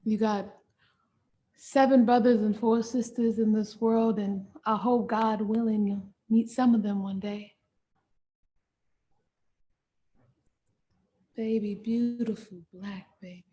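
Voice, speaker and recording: Woman acting an anguished, slow-spoken enslaved mother. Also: mid-range voice, warm tone, close to an everyday voice. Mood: pleading, hopeful. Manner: slow, emphatic, repetitive delivery with dramatic pauses. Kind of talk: dramatic theatrical monologue.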